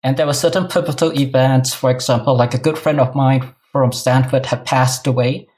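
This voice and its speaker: A man's voice: mid-range voice, fairly even intonation, moderate pace, darkish tone. A middle-aged man with a Singaporean accent, answering interview questions conversationally as an academic guest. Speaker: guest, New Testament professor.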